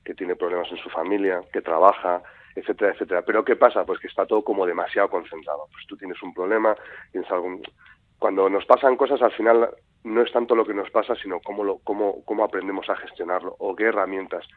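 Animated male storyteller, low voice, dry close sound. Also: quick pace, dry recording. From Madrid. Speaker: guest author. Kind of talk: radio interview. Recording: tinny phone-like radio sound.

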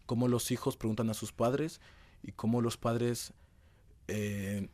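A man's voice: medium pitch, steady tone, takes it slowly, darkish tone, no room sound. A young man, giving conversational answers as an interview guest. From Madrid, Spain. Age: young adult.

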